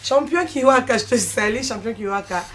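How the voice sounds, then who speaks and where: A woman interviewing a musician, asking quick questions and keeping him going with short murmurs. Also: a little high, a bit bright. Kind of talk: casual interview questions mixing French and Lingala. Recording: light background noise.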